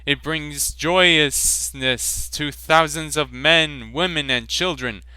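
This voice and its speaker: A man's voice: on the high side, slow pace, sharp tone, clean tone, no room sound, voice pushed up, full character voice. A man reading the part of a tearful cartoon pet owner in a pleading, slightly raised voice.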